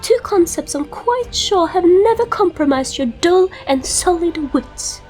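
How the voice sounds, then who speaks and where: A woman's voice: extremely high pitch, lively intonation, unhurried, darkish tone, soft background music, pitched above the performer's own voice. A woman voicing a proud, scornful fantasy rogue who speaks in ornate, pompous phrases for a comic dub. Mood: scornful, indignant. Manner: ornate, pompous, florid wording.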